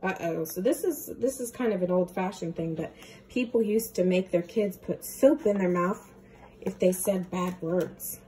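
A young woman teacher reading a storybook aloud to children, with playful, expressive comments. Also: lowish voice, normal speed, poor audio. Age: young adult.

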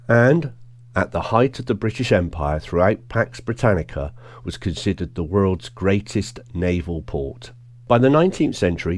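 Deep-voiced man narrating a travel vlog. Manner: steady, informative voice-over delivery. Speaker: vlogger narrating a motorbike tour.

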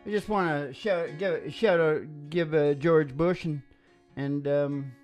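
A man's voice: a little high, unhurried, a little mellow. An older man hosting a radio music show, speaking into a stand microphone in joking, rambling banter with a wry manner. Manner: wry, jokey, chuckles often. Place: small dim indoor room with wood-panelled wall. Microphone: microphone on a stand, close.

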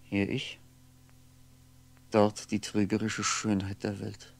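An actor playing a lonely, world-weary aging man, musing haltingly to himself in a 1960s radio drama monologue, with a gravelly voice. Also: fairly low pitch, completely flat delivery, heavily acted. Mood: melancholy, searching. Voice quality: gravelly, often sinking into breathy whisper. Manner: halting, brooding, fragmented musing with pauses. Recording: 1960s radio recording, faint noise.

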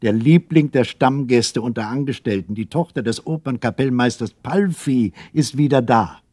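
A man narrating a children's radio play as a storyteller, in a measured, deliberate manner. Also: mid-range voice, dark tone, no echo, clean studio sound, natural voice. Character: calm male storyteller addressing young listeners.

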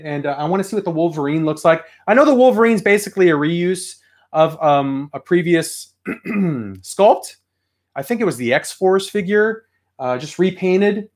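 Chatty man getting over a cold. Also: on the high side. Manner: rambling, chatty, reads chat aloud. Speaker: toy collector streamer. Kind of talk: casual live stream chat. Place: home room full of toy shelves. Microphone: webcam at desk.

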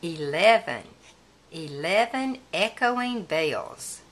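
A woman reading a children's picture book aloud in a deliberate manner, as if counting along with young listeners. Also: on the low side, big pitch swings, a little hiss or hum.

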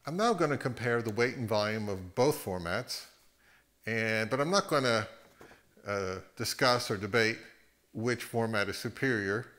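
A middle-aged man hosting a casual explainer at home, speaking in a measured, halting way. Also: lowish voice, animated, a bit bright. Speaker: host of an audio hobby channel. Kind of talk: casual explainer to camera with some narration. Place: home living room.

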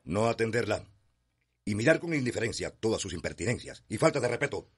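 A stern Cuban patriarch of a radio drama, very raspy and fairly low. He scolds and accuses, his pitch swinging wildly. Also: big pitch swings, fairly quick. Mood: scornful. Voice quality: husky, rough. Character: domineering, stern father, wealthy colonial patriarch.

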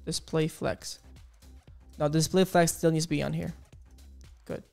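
A man's voice: fairly even intonation, a little slow, gravelly, darkish tone, soft background music. A young man teaching coding live on stream, upbeat and exclamatory, chatting with viewers as he types. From Ukraine. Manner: upbeat, exclamatory, keeps saying boom and beautiful. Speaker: coding instructor on livestream. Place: small home studio. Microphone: studio mic on arm with headphones.

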